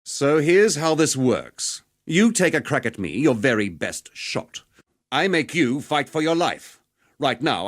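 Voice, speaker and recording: A battle-scarred veteran duelist for a video game. His mid-pitched voice moves expressively up and down. He speaks like a proud, wry storyteller, earthy and measured. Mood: challenging. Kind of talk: dramatic video game character monologue.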